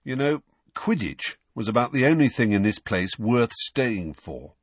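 A man's voice: mid-range voice, very animated, unhurried, dry recording, studio quality. A man voicing a cheeky, restless teenage boy prankster in an audiobook, breezy and blunt. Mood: wry.

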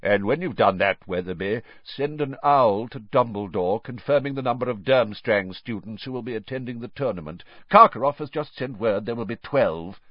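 A man's voice: mid-range voice, expressive, moderate pace, few pauses, no echo, natural voice. A man voicing a confused, desperate older official who rambles and gasps out broken phrases. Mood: rambling. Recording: dull, slightly lo-fi recording.

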